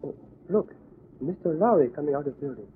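Through a thin old radio recording comes a man playing a loyal valet, high and husky. His English is halting and clipped, eager to serve, with a lively swing to it. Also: narrow, tinny audio, noisy background, lightly acted. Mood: eager, puzzled. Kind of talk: 1940s radio acting.

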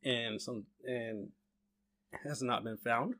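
A man co-hosting a podcast, telling a story in a hesitant way, with many pauses and restarts and a dry sense of humor. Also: mid-range voice, steady tone, fairly bright tone.

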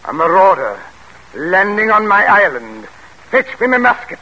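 An actor playing a cranky, self-important island lord in old-time radio drama, with a raspy voice. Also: male voice, sits high, very animated, measured pace, bright, forceful tone, full character voice, poor audio. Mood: alarmed, commanding.

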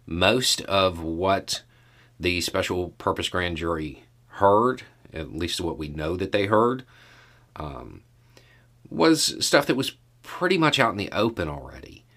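A man giving casual solo news commentary as a host, in a measured, halting way with deliberate pauses. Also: low-pitched, expressive, gravelly, fairly bright tone.

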